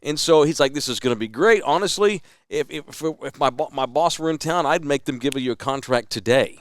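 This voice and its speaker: A man's voice: mid-range voice, a little fast, dry recording, clean studio sound. A man with a Texas accent telling a story in a casual podcast chat, acting out the people he quotes. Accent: Texan. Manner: animated storyteller, quotes others in a lively voice.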